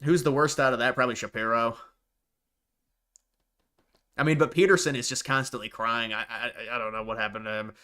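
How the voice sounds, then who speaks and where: A man's voice: medium pitch, steady tone, a little fast, pauses often, sharp tone, smooth. A man hosting a live stream, commenting casually on clips and often stopping to restart and repeat words.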